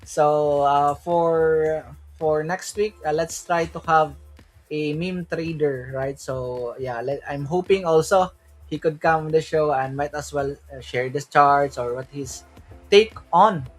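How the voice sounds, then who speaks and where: A man's voice: on the high side, slow, soft background music. Filipino man hosting a crypto livestream. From Philippines. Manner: loose, repeats "right?" often, mixes in Tagalog. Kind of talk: solo livestream talk.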